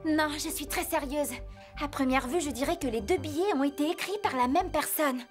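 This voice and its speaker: A woman voicing an earnest, feisty teenage angel girl in a French cartoon dub, excitable and emphatic. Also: high voice, moderate pace, over music, natural voice. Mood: puzzled, anxious.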